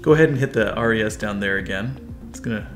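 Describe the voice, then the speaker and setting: A man's voice: fairly low pitch, fast, soft background music. A man in his forties with an American accent, explaining and coaching as a video host in a casual instructional style. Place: furnished living room, indoors.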